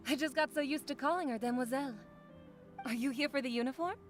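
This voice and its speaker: An actress playing a polite, gracious female shopkeeper who speaks courteously and slowly. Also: high-pitched, faint music behind, close to an everyday voice. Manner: courteous and slow-spoken.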